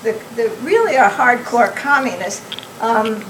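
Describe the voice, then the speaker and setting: A soft-voiced woman in the audience asking an earnest, measured question. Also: a little high, lively intonation. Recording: echoey hall, distant mic, faint noise.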